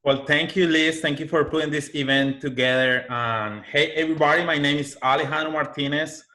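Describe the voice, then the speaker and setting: A man from Colombia with a Colombian Spanish accent, a university sustainability manager giving a webinar talk in a halting way with restarts. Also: on the high side, fairly even intonation, sharp tone. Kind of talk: webinar presentation.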